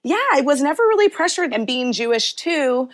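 A woman from Canada, co-hosting a talk show, speaking in a relaxed, conversational way. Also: sits high, moderate pace. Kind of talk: casual talk show chat.

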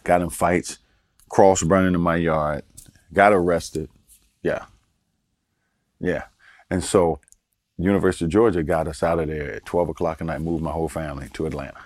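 Older guest from Baltimore, deep voice, easygoing anecdotes, dry recording. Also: male voice, moderate pace, long pauses, no room sound. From Baltimore, Maryland. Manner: relaxed storyteller, chuckles, repeats words for emphasis. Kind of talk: casual storytelling interview.

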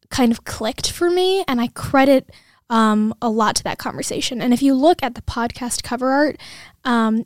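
A young woman hosts a podcast in an earnest, gushing conversational way, her voice sitting fairly high. She is recorded close on a dry mic with no room sound. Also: dry recording. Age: 20s. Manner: earnest and effusive, many fillers. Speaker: podcast host and student. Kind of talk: casual podcast chat.

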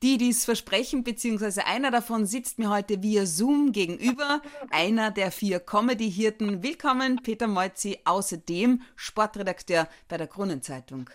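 A woman's voice: medium pitch, expressive, moderate pace, hardly any pauses. A woman hosting an interview, welcoming her guest in a friendly presenter tone. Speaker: interview host.

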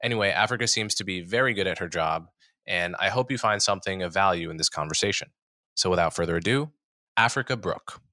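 Low-voiced male podcast host, bright tone. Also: no room sound. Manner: measured, hesitant when framing questions. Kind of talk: interview host.

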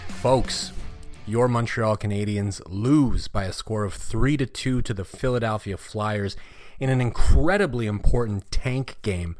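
A man's voice: lowish voice, a little slow, a little mellow, soft background music. A man hosting a casual hockey recap podcast, rambling and swearing, while losing his voice. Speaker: podcast host.

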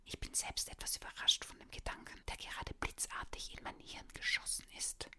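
A woman's voice: moderate pace, lightly acted. A woman whispering the part of a plump, hungry, stubborn male pony. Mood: cocky.

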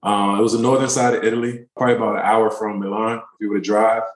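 A man in his thirties, a pro basketball player, telling relaxed, rambling stories in a casual interview. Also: on the low side, quick pace, reverberant, low-quality recording. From born in Turkey, raised in the DC area. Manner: relaxed, rambling, many fillers.

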